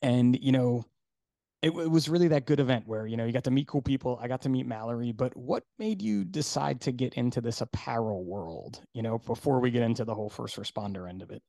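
A middle-aged man from New Jersey hosting a podcast in casual chat, hoarse today and rambling with fillers. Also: mid-range voice, a little fast, mellow, no echo. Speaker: podcast host.

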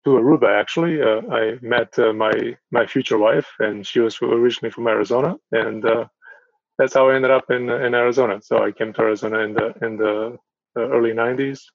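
A middle-aged man from Portugal answering interview questions as a podcast guest, speaking haltingly and repeating words as he goes. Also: mid-range voice, steady tone, gravelly, no echo. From northern Portugal. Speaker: podcast guest, town mayor. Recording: dull, muffled lo-fi audio.

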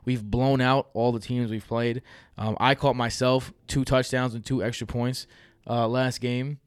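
A man's voice: medium pitch, measured pace, clear, resonant tone, no echo. A man, hosting a fantasy football podcast in loose, joking chat with his brother. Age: 30s. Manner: animated, laughs often, loose and joking.

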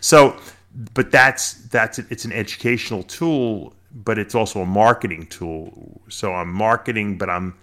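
A man's voice: on the low side, measured pace, pushed and bright. A middle-aged American man talking casually as an interview guest, explaining things in an emphatic, talkative way with repeated phrases. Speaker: podcast guest, bodybuilder and snake breeder.

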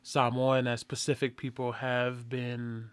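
A man hosting a casual interview, talking in an animated, emphatic way that keeps checking in with "you know" and "right?". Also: medium pitch, unhurried, smooth, no echo. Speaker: host.

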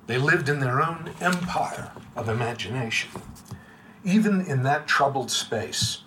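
A man in his sixties giving a prepared, literary lecture to a room of listeners, measured, with his voice dropping quiet at the ends of lines. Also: medium pitch, slight background noise. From United States. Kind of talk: reading a prepared reflective talk. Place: room with a live audience.

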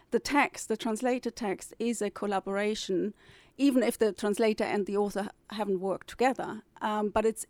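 A woman whose first language is German talks at a middling pitch. She is a publisher thinking through her answers aloud, with frequent restarts. The sound is close and dry. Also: a little mellow, dry recording. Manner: thoughtful, with many restarts and fillers. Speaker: publisher and novelist. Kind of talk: interview answers.

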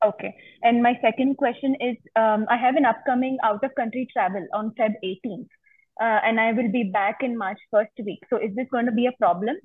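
Phone-line young woman, slightly husky. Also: on the high side, steady tone, brisk. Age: young adult. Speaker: caller seeking legal advice. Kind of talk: explaining her case on a call.

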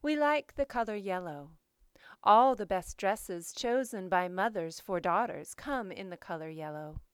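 A woman host reading literary work and scripted introductions in a measured, deliberate, expressive way. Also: medium pitch, smooth, dry recording, clean studio sound. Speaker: poetry show host and reader.

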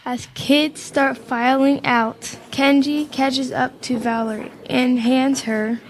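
A woman's voice: sits high, steady tone, takes it slowly, a little hiss or hum, poor audio. A young woman reading scripted narration for an amateur radio play.

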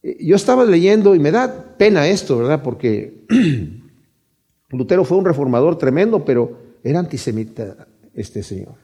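Male preacher, earnest and conversational, husky mellow tone. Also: a little high, big pitch swings, moderate pace. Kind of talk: sermon.

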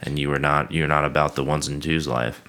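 Podcast host, male, D.C.-raised, very low-pitched voice; loose, rambling conversational read. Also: flat delivery, brisk, a bit bright, clean tone. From Washington, D.C. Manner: easygoing, rambling, self-correcting. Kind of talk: casual chat.

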